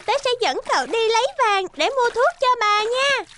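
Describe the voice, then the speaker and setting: A woman's voice: extremely high pitch, lively intonation, normal speed, pushed and bright, a little hiss or hum, exaggerated voice. A woman voicing a friendly magical talking fish in a cartoon, childlike and earnest. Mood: eager, solemn.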